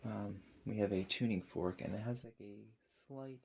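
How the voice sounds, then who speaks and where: A man doing an ASMR roleplay as a spa attendant, addressing a client in a hesitant, rambling, unhurried manner. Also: on the low side, gravelly, heavy background noise.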